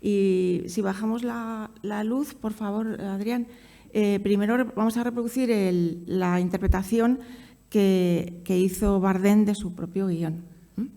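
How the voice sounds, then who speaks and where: A woman giving a semi-improvised conference presentation, haltingly, searching for her words. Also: mid-range voice, steady tone, dark tone. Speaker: academic presenter at a film conference.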